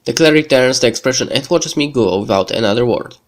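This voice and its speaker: A man who is a bit sick hosts a let's play, reading a visual novel script aloud in a halting, stumbling way. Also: medium pitch, animated. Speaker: let's play video host. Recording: music behind the voice. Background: background game music.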